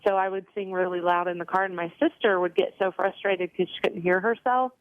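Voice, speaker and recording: A woman's voice: lowish voice, fairly even intonation, fairly quick, dry recording. Young woman on a tinny phone line. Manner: chatty, many ums and you knows. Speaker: country singer, interview guest. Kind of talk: casual interview answers.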